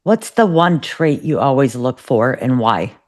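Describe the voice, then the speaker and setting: A man's voice: mid-range voice, expressive, measured pace. A man hosting an interview podcast, asking questions with lots of fillers and affirmations. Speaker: podcast host. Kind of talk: interview questions, casual chat.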